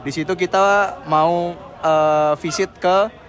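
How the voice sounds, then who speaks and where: Young man, a bit high-pitched, loose slangy answers with lots of fillers, outdoor interview. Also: steady tone, slow. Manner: rambling, slangy, heavy with fillers. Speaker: senior member being interviewed.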